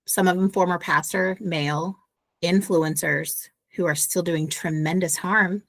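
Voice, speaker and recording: Earnest American woman, low dry voice. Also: no room sound. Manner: earnest, animated explaining with asides. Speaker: author and guest. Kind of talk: conversational interview.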